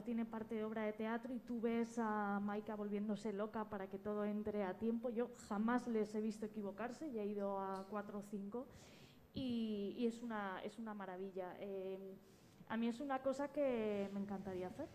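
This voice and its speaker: A woman with a Castilian Spanish accent talks enthusiastically in a panel discussion. Her voice is warm and mellow at an ordinary pitch, with faint noise from the live event behind her. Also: steady tone, normal speed. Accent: Spanish from Spain. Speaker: panelist, podcast producer. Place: live round-table event.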